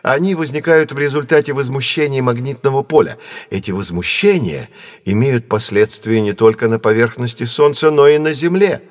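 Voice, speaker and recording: An actor playing a learned, patient astronomy professor who explains things in a measured, didactic way in an educational audio drama. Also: male voice, mid-range voice, no room sound, clean studio sound, close to an everyday voice. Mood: patient explaining. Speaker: teacher.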